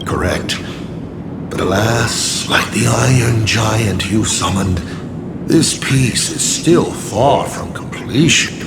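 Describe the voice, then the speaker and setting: A man voicing a scheming artist villain in a video game, with a grandiose, measured, taunting delivery. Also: lowish voice, vocal fry, heavy background noise. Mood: grand, taunting. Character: theatrical scheming male artist villain playing games of secrets. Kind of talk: dramatic video game acting. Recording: loud music behind. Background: loud music.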